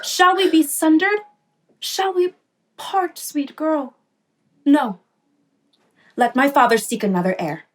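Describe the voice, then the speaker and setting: Woman as earnest, loyal young noblewoman, fairly high. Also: slow, pauses often. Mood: resolute. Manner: earnest, formal verse delivery. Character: devoted, resolute young noblewoman, loyal cousin. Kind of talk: Shakespearean radio play acting.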